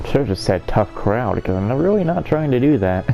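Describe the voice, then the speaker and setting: A man's voice: medium pitch, big pitch swings, moderate pace, creaky, highs cut off. A man giving casual playthrough commentary and reading game dialogue aloud in an offhand, dry way. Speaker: gaming video host. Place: at home, sitting on a bedroom floor. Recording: faint game music behind the voice.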